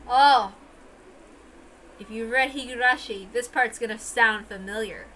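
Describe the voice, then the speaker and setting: Bright, forceful woman reading aloud. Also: on the high side, unhurried, slight background noise, rough recording. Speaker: narrator and reader. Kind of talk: dramatic amateur read-aloud of a visual novel with casual asides.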